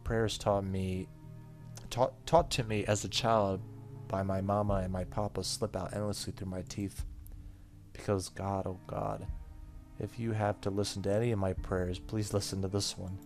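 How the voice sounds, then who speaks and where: Low-voiced man doing a let's play. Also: flat delivery, moderate pace, darkish tone. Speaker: gaming YouTuber. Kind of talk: let's play, reading visual novel text aloud with casual commentary. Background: game music.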